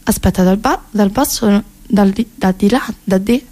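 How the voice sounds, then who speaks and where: A woman's voice: medium pitch, normal speed, mellow. A woman of about thirty with a Roman Italian accent, delivering sarcastic, incredulous asides in a satirical theatrical monologue. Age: around 30. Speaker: comic monologue performer.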